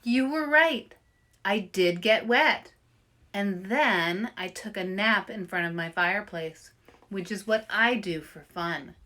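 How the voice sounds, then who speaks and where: A woman's voice: medium pitch, slow, pushed and bright. A woman voicing a grumbly but loyal young male animal in a storybook, with an insistent way of talking.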